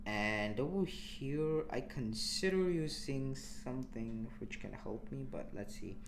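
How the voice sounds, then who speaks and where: A young man from India commentating his live game stream in a relaxed, chatty way, answering chat as he plays. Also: medium pitch, slow, vocal fry, slight background noise. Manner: relaxed, chatty, with frequent restarts and small laughs.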